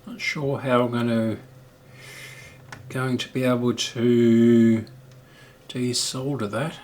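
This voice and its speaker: Man, middle-aged, slow unhurried pace, mid pitch, hesitant, thinking aloud, casual hobbyist repair commentary. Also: flat delivery, darkish tone, a little hiss or hum. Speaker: electronics repair hobbyist and video host.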